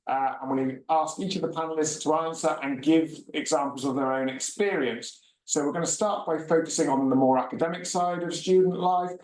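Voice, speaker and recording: A man's voice: a little high, darkish tone. Man hosting a student panel webinar; friendly, filler-heavy delivery, echoey room, mic at a distance. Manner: friendly, chatty, many filler uhs. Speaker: host, university regional manager.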